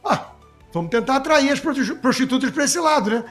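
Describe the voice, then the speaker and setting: A Brazilian man with a very high-pitched voice delivers political commentary off the cuff. He is emphatic, colloquial and sarcastic, and music plays behind him. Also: animated, brisk, a bit bright.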